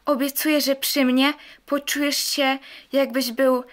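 A young woman plays a ghostly temptress in a radio drama, coaxing and pleading. Her female voice sits fairly high. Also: flat delivery, measured pace. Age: young adult.

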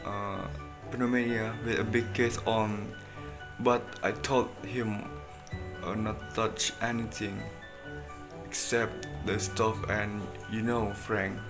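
A young man playing a small-town sheriff in an amateur student play, reading his lines haltingly and hesitantly. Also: fairly low pitch, flat delivery. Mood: halting, matter-of-fact. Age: young adult. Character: Henry Peters, small-town sheriff reporting on the scene. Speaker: student actor in a class play. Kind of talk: amateur stage-play acting. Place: indoor kitchen. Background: music.

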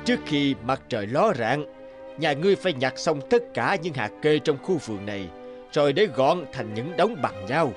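A man's voice: mid-range voice, big pitch swings, normal speed, close to an everyday voice. A man acts out an old fairy-tale king for a cartoon. He is stately, stern and authoritative. Light music sits under the voice. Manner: pompous, authoritative. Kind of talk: animated fairy-tale dubbing. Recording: music bed behind the voice.

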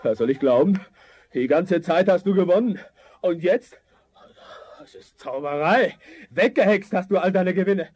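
An actor playing an angry, bullying gambler, indignant and threatening, in a fairy-tale radio play. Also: male voice, high-pitched, lively intonation, moderate pace, a little mellow, breathy edge. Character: angry, accusing male gambler, vindictive and bullying.

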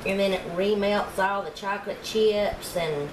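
A woman's voice: mid-range voice, fairly even intonation, measured pace. A woman, chatting homey and casual as she demonstrates baking in her home kitchen. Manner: chatty, homey, talks to viewers while working. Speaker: home cooking vlogger. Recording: echoey kitchen with background noise.

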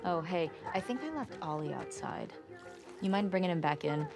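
A woman's voice: fairly low pitch, lively intonation, normal speed, creaky, music in the background. A woman playing a playful, affectionate young woman in a game cutscene, casual and teasing. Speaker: video game character. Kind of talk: naturalistic game cutscene acting.

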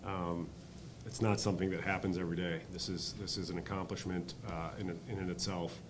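A man in his thirties, a head coach answering postgame press questions at a table mic, halting with many ums and uhs. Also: low voice, level tone, background noise. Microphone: table mic on stand.

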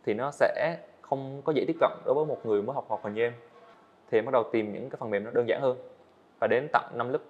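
Young man, quick earnest speech, slightly dull audio, indoor studio set. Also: medium pitch. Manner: earnest, explains step by step. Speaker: guest animator. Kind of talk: casual interview answers.

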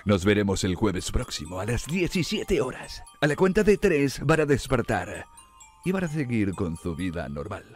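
Man, theatrical announcer, very lively pitch, slow, music bed behind. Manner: theatrical, playful. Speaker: show announcer. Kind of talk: mock hypnotist sign-off.

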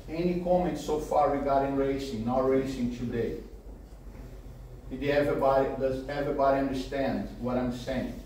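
A man whose first language is Portuguese, speaking earnestly and apologetically to a seminar audience as race organizer, with halting restarts. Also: mid-range voice, darkish tone, a little hiss or hum. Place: meeting room with large windows. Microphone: far camera. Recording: echoey room from far off.

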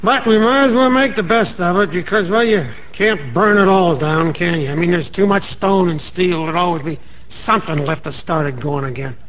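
An actor playing a meek, dreamy American night clerk in a radio drama, earnest and naive in manner, star-struck by gamblers. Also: male voice, high voice, moderate pace, few pauses, a bit bright, poor audio. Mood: sheepish musing.

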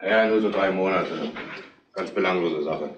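Shifty small-time crook, echoing room. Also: male voice, low voice, measured pace, lo-fi sound. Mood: evasive. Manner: evasive, wheedling, colloquial. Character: shifty, indignant male small-time crook being questioned. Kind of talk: retro crime radio drama acting. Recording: roomy echo, faint noise.

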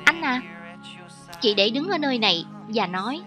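A woman's voice: a little high, expressive, normal speed. A woman reading a scripted cartoon voice-over translation, speaking for every character herself. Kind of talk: scripted cartoon dub narration, one reader voicing every character over the original. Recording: loud film soundtrack underneath. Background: original cartoon audio and music.

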